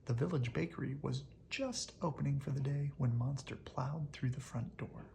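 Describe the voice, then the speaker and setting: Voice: mid-range voice, normal speed, vocal fry, darkish tone, slight background noise. A storybook reader narrating expressively aloud for children, as if at bedtime.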